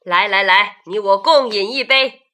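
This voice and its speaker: A woman voicing a jovial, carefree male ancient poet in a children's radio drama, hearty and declamatory, reciting slowly. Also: medium pitch, sharp tone.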